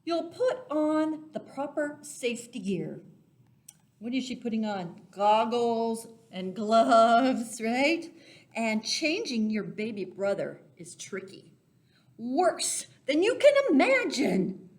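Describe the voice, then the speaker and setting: A woman reading a picture book aloud to young children as a storyteller, expressive and deliberate, stressing key words and speaking directly to her listeners. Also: on the high side. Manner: talks directly to young listeners, asking simple questions. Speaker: children's storyteller for a church Sunday school.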